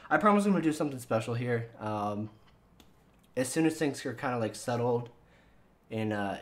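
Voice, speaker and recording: A man hosting his own channel in casual chat with viewers, rambling and swearing with lots of fillers, his voice sounding younger than he is. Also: fairly low pitch. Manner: rambling and profane, with many fillers. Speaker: channel host.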